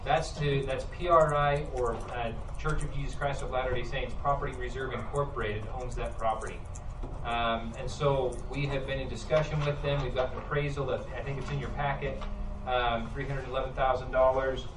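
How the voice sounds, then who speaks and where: Plainspoken man presenting agenda items, loud but picked up from across an echoey room with clear background hum. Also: medium pitch, steady tone, distant mic. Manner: plainspoken, loud, fairly quick. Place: meeting room.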